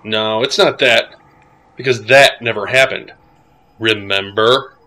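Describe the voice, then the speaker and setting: A man's voice: lowish voice, takes it slowly, pushed and bright, poor audio. A man playing a sensible, exasperated studio colleague who corrects and questions the others in a comedy audio drama.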